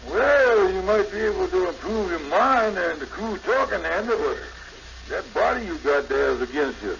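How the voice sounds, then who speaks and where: A man's voice: high voice, animated, moderate pace, gravelly, fairly bright tone, heavily acted. An actor playing a scheming, pompous middle-aged con man who mangles grand words in 1950s radio comedy style. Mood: blustery. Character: scheming blustery middle-aged male con man giving bogus lessons. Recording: noisy, muffled 1950s radio recording.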